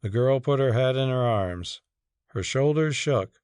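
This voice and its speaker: A man's voice: medium pitch, lively intonation, a little slow, a little mellow, smooth, no echo, studio quality. A man narrating a story as a scripted, dramatic audiobook reading.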